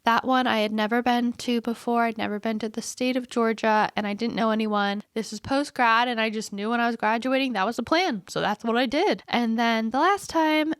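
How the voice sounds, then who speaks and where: A woman's voice: fairly high pitch, fairly quick, few pauses, dry recording, clean studio sound. A woman in her twenties hosting a solo podcast, rambling and chatty while congested from a cold. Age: 20s. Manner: rambling, chatty, self-deprecating. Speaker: podcast host. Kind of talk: casual solo podcast monologue.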